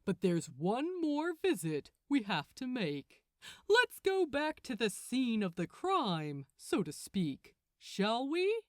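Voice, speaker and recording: A woman's voice: fairly high pitch, wildly swooping pitch, slow, dry recording, clean studio sound, full character voice. Lady doing a smug archangel guy from an audiobook, fake friendly, talks down to you, really swings up and down. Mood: almost kindly. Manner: condescending, faux-friendly. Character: smug, falsely jovial male archangel, lecturing.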